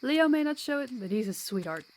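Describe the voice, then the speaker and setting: A woman's voice: sits high, lively intonation, fairly quick. A woman playing a sociable, kind vampire guide in an audio roleplay, chatty and reassuring with a playful streak. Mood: playful, teasing.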